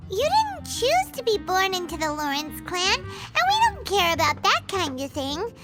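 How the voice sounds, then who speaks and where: A woman voicing a tiny, chirpy, childlike fairy companion in a video game, with a squeaky, chatty delivery. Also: huge pitch swings, normal speed, full character voice. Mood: encouraging. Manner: chatty, speaks of self in third person. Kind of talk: animated video game acting. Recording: game music behind the voice.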